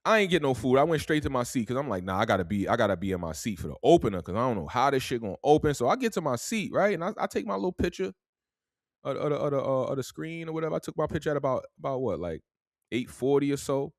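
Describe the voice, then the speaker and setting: A young man with a thick New York accent spins a long, easygoing story, loose and conversational, often finishing a thought with "man". His voice sits at an ordinary middle pitch. It is recorded dry and close, with no room sound. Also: lively intonation, fast talker, no room sound. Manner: laid-back, drawling storyteller, tags lines with "man". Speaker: podcast host. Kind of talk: casual storytelling.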